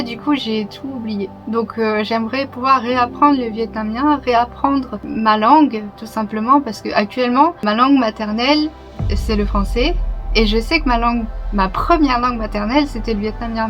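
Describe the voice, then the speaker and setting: A young woman with a fairly high voice speaks straight to camera in a relaxed personal vlog. She talks in a reflective, candid way, pausing on small fillers and breaking into light laughs. Background music plays clearly underneath her. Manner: reflective, open, with soft laughs and hesitations. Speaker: YouTuber. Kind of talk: casual personal vlog talk.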